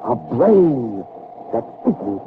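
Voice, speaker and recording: Through a thin, hissy vintage radio recording comes an obsessive scientist. He swings widely in pitch, brooding and fervent, with impatience for human weakness in classic radio drama style. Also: big pitch swings, very slow, narrow, tinny audio. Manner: theatrical, brooding, fervent delivery. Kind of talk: early 1960s radio drama acting.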